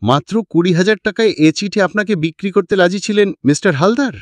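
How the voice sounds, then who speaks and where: A man's voice: sits high, brisk, no room sound, studio quality, voice pushed up, lightly acted. A man voicing a polite, well-read detective in a story, asking courteous, probing questions.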